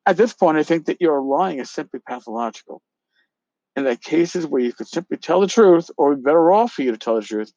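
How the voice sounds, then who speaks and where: Scornful accuser, read out by a man, sarcastic, relentless lecturing, slightly high voice, dramatic reading. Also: a little mellow, no room sound, dull audio. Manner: sarcastic, accusatory, long-winded. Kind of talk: dramatic reading of an email exchange.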